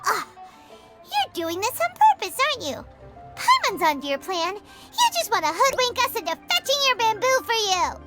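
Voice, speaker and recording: A woman voicing a tiny, excitable, childlike fairy companion in a video game, with a squeaky, cheeky, exclamatory delivery. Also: moderate pace, loud music behind the voice. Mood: indignant. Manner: refers to self in third person, exclamatory, cheeky.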